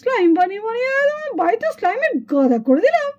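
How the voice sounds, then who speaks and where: A woman's voice: very high-pitched, big pitch swings, fast, a little mellow, lo-fi sound. A woman voicing a cheeky, boastful, bossy little schoolgirl doll in a children's puppet show. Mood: headstrong.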